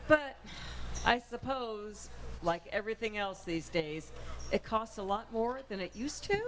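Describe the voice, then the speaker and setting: A woman's voice: mid-range voice, animated, unhurried, lightly acted. A woman playing an eager 1940s housewife shopper in a radio commercial, gushing theatrically. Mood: doubtful. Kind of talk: old-time radio advertisement. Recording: clearly noisy live room ambience.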